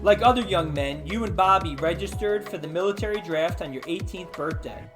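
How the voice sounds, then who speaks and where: A man's voice: high voice, a little fast, over music. A man hosting a casual read-aloud of an interactive storybook, with informal, chatty asides to his listeners. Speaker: host reading aloud.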